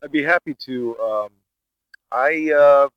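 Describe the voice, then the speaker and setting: A man's voice: mid-range voice, slow, clean tone, dry recording. Hesitant Brazilian-born man, dry and dull. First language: Brazilian Portuguese. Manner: hesitant, many filler uhs. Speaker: podcast guest. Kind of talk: casual interview talk.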